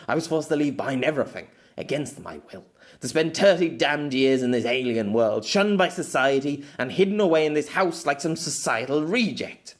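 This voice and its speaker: A man performs a distraught middle-aged exile for an audiobook fantasy. He is bitter and hot-tempered, with a very animated pitch that swings widely. He stammers and swears his way through dramatic, desperate outbursts. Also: moderate pace, close to an everyday voice. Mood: angry, anguished.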